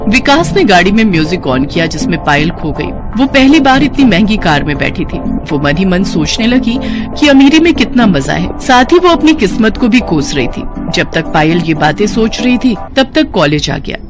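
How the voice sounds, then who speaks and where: A woman narrates a scripted moral story for a cartoon. Her pitch is medium, and her delivery is that of a dramatic storyteller. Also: very animated, normal speed, over music.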